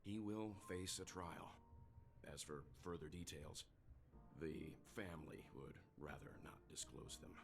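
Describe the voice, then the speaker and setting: A man playing a grave, wise older traveler in a video game, speaking in a measured, formal and solemn way. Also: sits low. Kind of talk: scripted video game dialogue. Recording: game audio under loud music.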